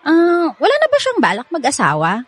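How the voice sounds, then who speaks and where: A woman's voice: high voice, wildly swooping pitch, a little fast, dry recording. An actress playing a sweet, teasing young girlfriend with a Filipino accent in a radio drama, in a playful manner. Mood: curious.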